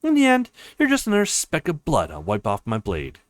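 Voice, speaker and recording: A man's voice: a little high, huge pitch swings, a little fast, no room sound, clean studio sound. A man voicing a cold, formal swordswoman in a visual novel, curt and menacing. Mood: cold, threatening.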